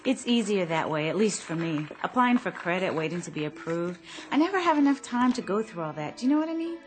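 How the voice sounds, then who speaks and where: An actress playing an uneasy but polite department store shopper in a radio drama, hesitant, questioning and easily flustered. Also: female voice, lowish voice, very animated, fast talker. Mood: polite, pleased.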